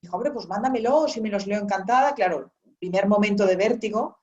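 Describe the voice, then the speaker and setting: Woman author guest, relaxed rambling storyteller, mid-pitched, lo-fi sound. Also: brisk. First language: Spanish. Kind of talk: conversational interview. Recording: dull lo-fi sound.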